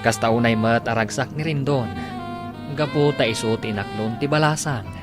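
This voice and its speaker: A man's voice: medium pitch, normal speed. A man with an Ilocano Filipino accent narrating and announcing a radio drama in a scripted, dramatic style. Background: instrumental music.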